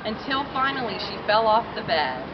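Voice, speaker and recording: A woman reading a novel aloud at an outdoor public gathering, declaiming it in short phrases with a pause after each. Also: medium pitch, very animated. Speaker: reader at a public read-in. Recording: dull outdoor recording with loud crowd. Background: loud crowd, urban outdoor noise.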